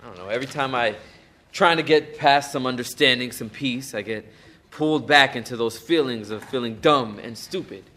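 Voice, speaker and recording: Guy acting as a young dude hiding stuff, halting, medium voice, bit of hiss behind. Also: medium pitch, bright, forceful tone, natural voice. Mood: troubled. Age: young adult. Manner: hesitant, halting with fillers. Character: young man, guarded, hiding inner turmoil. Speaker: therapy client. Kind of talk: stage play acting.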